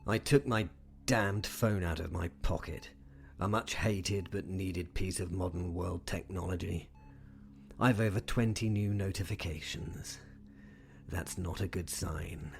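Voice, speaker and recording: An actor playing a weary, wry, courteous professor, gravelly and musing, in an audio drama. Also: male voice, sits low, steady tone, unhurried. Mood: wry, reflective. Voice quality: gravelly, husky. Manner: hesitant, musing, dryly formal.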